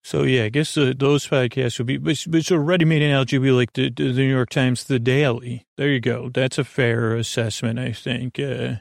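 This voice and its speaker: A gravelly man with plenty of vocal fry hosts a sleep podcast in a dry, close sound. He meanders and stammers, doubling back on his words. His delivery is self-deprecating and built for bedtime listening. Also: mid-range voice, steady tone, a little fast, hardly any pauses, no room sound. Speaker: podcast host. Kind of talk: rambling bedtime podcast monologue.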